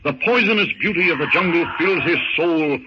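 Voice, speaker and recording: A man delivering deliberate, dramatic scripted narration in the style of 1930s radio. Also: a little high. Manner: theatrical, deliberate, florid. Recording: muffled, noisy old 1930s radio recording.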